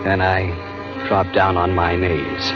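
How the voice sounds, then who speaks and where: American adventurer, low-leaning voice, intense first-person storytelling, 1940s radio acting, muffled vintage recording. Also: male voice, monotone, slow pace. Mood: awed, humbled. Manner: intense, dramatic delivery. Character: young male adventurer breathlessly recounting his narrow escape from death. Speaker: lead actor narrating. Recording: dull vintage radio recording, loud music.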